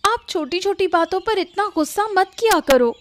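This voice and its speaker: Woman as a high, whiny girlish sister. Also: animated, moderate pace. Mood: sleepy, sulky. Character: sulky sleepy younger sister, girlish granddaughter. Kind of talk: Hindi animated story dialogue.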